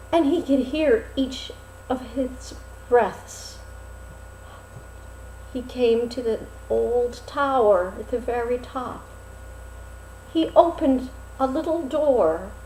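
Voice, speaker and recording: Lady reading a fairytale out loud, really slow n dramatic, lots of pauses, echoey room. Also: sits high, slight background noise. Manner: dramatic, deliberate phrasing with pauses. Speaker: storyteller reading a fairy tale aloud. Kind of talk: expressive storybook narration.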